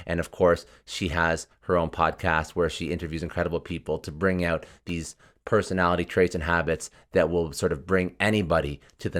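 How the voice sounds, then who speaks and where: A man hosting an interview podcast, reading his introduction in a measured way. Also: deep voice. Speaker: podcast host. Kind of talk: scripted intro.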